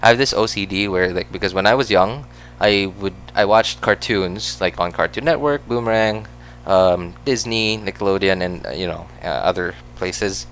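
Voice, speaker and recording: A man's voice: low-pitched, moderate pace, fairly bright tone, a little hiss or hum. A man with a Filipino accent, whose first language is Cebuano, chatting casually while he plays a game, rambling with lots of fillers and self-corrections. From Philippines. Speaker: gaming streamer.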